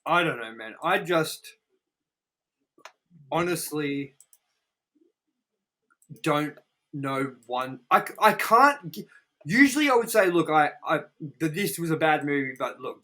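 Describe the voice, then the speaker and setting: A man's voice: fairly high pitch, animated, normal speed, pauses often, sharp tone. A man co-hosting a casual movie review chat, rambling emphatically and swearing freely. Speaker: review show co-host.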